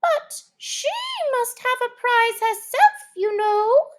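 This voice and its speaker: A woman voicing a touchy, doleful little storybook mouse in a thin, plaintive voice. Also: slow. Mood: insistent. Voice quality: thin, very high.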